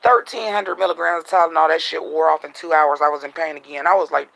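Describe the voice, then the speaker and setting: A man vlogging at home at night, venting bluntly and profanely in a casual monologue, his voice a little raspy. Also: fairly high pitch, fairly even intonation, quick pace, fairly bright tone, low-quality recording.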